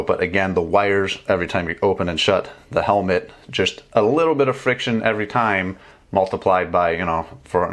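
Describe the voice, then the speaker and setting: A man's voice: deep voice, a bit bright. A man in his thirties casually demonstrating a gear install at his desk at home, chatty with fillers and restarts. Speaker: motorcycle travel vlogger. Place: small home room with bookshelves and desk.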